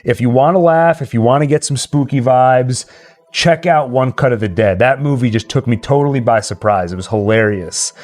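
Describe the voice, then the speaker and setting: A man's voice: mid-range voice, normal speed, a little mellow. A man hosting a livestream, chatting casually and reading out comments. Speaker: livestream host. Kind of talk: casual chat.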